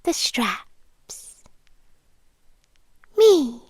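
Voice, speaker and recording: A possessive British yandere girlfriend, acted by a woman, speaks in a high, hushed voice for an ASMR roleplay. She draws her words out very slowly and often slips into whispers, sweetly menacing. The sound is close and dry. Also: sing-song, husky, dry recording, exaggerated voice. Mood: tender menace. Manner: slow, deliberate, hushed, often slipping into whispers. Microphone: close microphone, dry.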